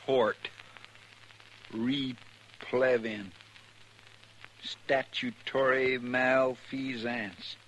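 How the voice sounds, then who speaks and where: Simple folksy cowboy sidekick, talks real slow, kinda baffled, hissy old radio show. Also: male voice, medium pitch, flat delivery, a bit bright. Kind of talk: 1950s radio western acting. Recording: noisy vintage 1950s radio recording.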